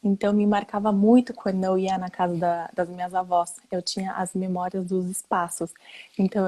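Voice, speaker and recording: A woman's voice: fairly low pitch, a little mellow. A woman from Brazil, an architect, speaking earnestly and explaining her work as a guest in a casual online chat. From Brazil, grew up there.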